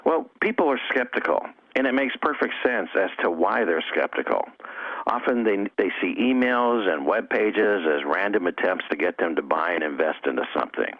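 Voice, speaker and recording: A man's voice: sits low, animated, moderate pace, no echo. A man giving instructional interview answers as a coach and trainer. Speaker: network marketing coach and trainer. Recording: dull, dry lo-fi audio.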